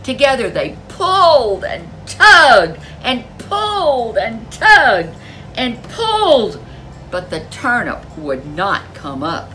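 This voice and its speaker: A woman's voice: fairly high pitch, wildly swooping pitch, slow pace, bright, forceful tone, slight background noise. A woman storyteller reading a folk tale aloud in a dramatic, emphatic way. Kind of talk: animated folk tale narration for children.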